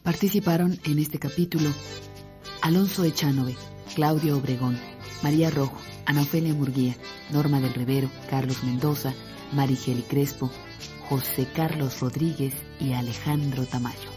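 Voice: medium pitch, fairly even intonation, normal speed. An announcer reading scripted radio credits in a list. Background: music with accordion and guitar.

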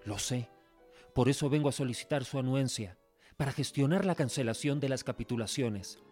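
An actor playing an earnest, proud young suitor in a radio drama, speaking formally. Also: male voice, mid-range voice, moderate pace, darkish tone, soft background music, close to an everyday voice. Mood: hopeful, confident. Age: young adult.

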